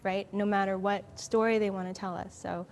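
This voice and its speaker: A woman's voice: medium pitch, level tone, fairly quick, slight background noise. A woman speaking firmly and emphatically as a guest in a panel discussion, in a conversational tone.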